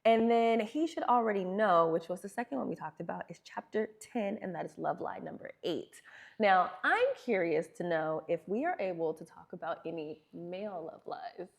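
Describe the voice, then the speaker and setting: A woman's voice: on the low side, normal speed, gravelly. A woman hosting a talk show in a casual, chatty way with informal, playful asides.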